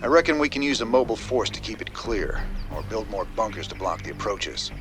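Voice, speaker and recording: An actor playing a steady, rugged rebel commander in a video game, with a plainspoken, folksy delivery. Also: male voice, on the low side, fairly quick, music in the background. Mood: reassuring.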